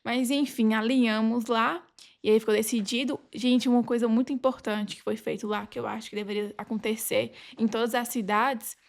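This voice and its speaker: A Brazilian woman talks as a guest on a podcast. Her voice sits fairly high and carries heavy vocal fry. She explains her points earnestly and conversationally, often adding little check-in tags. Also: steady tone, moderate pace. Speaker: podcast guest, legal advisor.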